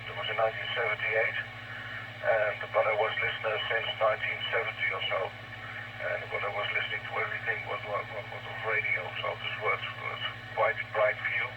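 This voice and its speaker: A man in his sixties with a Dutch accent, chatting haltingly and cordially over a ham radio link. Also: fairly low pitch, monotone. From Netherlands. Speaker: amateur radio operator. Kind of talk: casual ham radio conversation. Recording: noisy, muffled internet radio link, distant.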